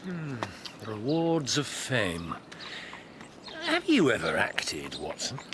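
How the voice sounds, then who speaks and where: An actor playing a brilliant Victorian detective who questions sharply and teasingly in a radio play. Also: male voice, medium pitch, huge pitch swings, slow, noisy background. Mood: wry. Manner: incisive, teasing, probing. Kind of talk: radio drama acting.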